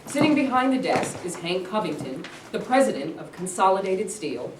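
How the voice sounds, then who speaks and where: A woman's voice: mid-range voice, very animated, normal speed. A woman reading scripted scene headings and stage directions at a staged play reading. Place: live staged reading in a room. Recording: distant, echoey, noisy room.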